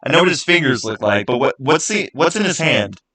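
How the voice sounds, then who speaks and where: Male livestream host, mid-pitched voice, casual and halting, doubles back on his words while chatting to viewers. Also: lively intonation, pushed and bright. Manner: halting, repeats words and phrases.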